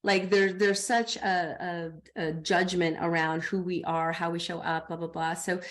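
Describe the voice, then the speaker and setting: A middle-aged woman coach talking in a podcast interview, animated, acting out her own inner dialogue. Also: fairly low pitch, measured pace.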